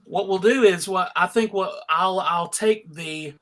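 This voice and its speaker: High, bright-voiced man from Alabama, exclamatory. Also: measured pace. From Alabama, United States. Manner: exclamatory, joking. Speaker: host of a fan convention panel. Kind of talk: casual animated panel chat.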